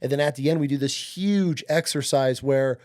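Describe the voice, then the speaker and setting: A man's voice: medium pitch, moderate pace, darkish tone. A man in his late thirties from upstate New York, telling stories as a podcast guest in a laid-back way, swearing and saying "dude" and "like" a lot. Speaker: podcast guest, Marine veteran. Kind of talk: casual interview storytelling.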